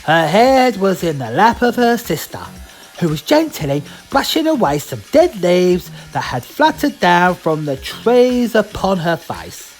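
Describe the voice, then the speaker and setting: A woman's voice: fairly low pitch, very animated, a little slow, soft background music, rough recording. A woman telling a bedtime story theatrically, with playful asides to the listener. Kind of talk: animated bedtime story narration.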